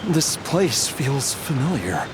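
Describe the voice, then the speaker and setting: Slow, halting, anguished game character. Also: male voice, mid-range voice, sing-song, background noise. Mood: dazed. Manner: halting, hesitant, sighing. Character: anguished, weary man struggling to remember, stubbornly determined. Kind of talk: video game voice acting.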